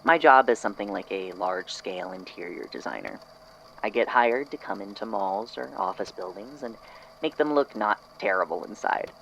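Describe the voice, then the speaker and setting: A man's voice: fairly low pitch, very animated, moderate pace, creaky, a bit bright, dull audio, slight background noise, full character voice. A man playing a casual, reluctant archivist in an audio drama, reading a witness statement aloud in an informal, hesitant way. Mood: conversational, reflective. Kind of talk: audio drama podfic, conversational first-person storytelling.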